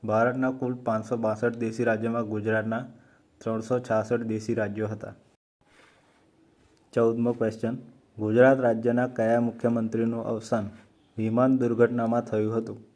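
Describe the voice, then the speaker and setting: A man with a Gujarati accent hosting an exam-prep quiz, reading out questions and options slowly and carefully. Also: mid-range voice, flat delivery, darkish tone. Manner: slow, measured reading of options. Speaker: quiz host for exam preparation.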